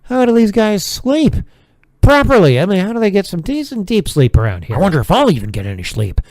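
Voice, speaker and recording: Man playing a lively, grumbling radio man. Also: high voice, a little fast, dry recording. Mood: bewildered, grumbling. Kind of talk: audio drama acting.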